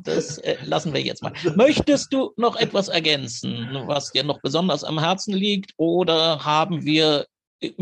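A man's voice: a little high, gravelly, a bit bright. A man hosting a podcast, speaking conversationally as interviewer and announcer, in chatty, wordy phrasing.

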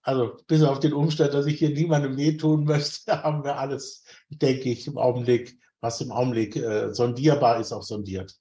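A man speaking as an interview guest, giving conversational answers in considered, measured phrasing. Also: medium pitch, steady tone, mellow.

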